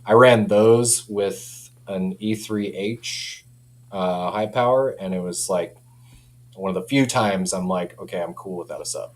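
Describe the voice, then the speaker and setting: A man's voice: deep voice, measured pace. A man chatting casually and enthusiastically about products as a company representative, in informal language. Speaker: audio company representative, guest.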